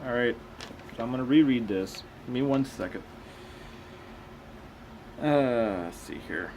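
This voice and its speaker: Skeptical man over a steady room hum. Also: mid-range voice, a little slow. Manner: skeptical, questioning. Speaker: host reading aloud and commenting. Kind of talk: casual commentary between read-aloud passages.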